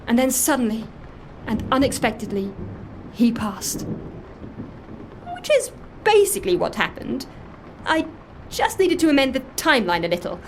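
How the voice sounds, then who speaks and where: A woman's voice: fairly high pitch, very animated, normal speed, pauses often, a little hiss or hum, poor audio. An actress playing an earnest, guilt-ridden woman who confesses and justifies herself in a matter-of-fact way, in naturalistic audio drama. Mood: matter-of-fact, confiding. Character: earnest, guilt-ridden woman secretly running a dead man's life. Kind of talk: audio fiction drama acting, naturalistic dialogue.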